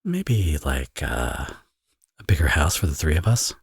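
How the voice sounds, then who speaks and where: Male actor as smug villain dad, very husky breathy voice, swooping intonation, playful sarcasm, intimate ASMR roleplay. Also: rumbling low, wildly swooping pitch, a little slow, no echo, clean studio sound, heavily acted. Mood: flirtatious. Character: teasing, flirtatious villain, doting father and former lover.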